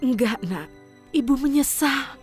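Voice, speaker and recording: A woman's voice: high voice, lively intonation, a little slow, faint music behind. A woman playing a tender, apologetic older mother. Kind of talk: audio drama acting.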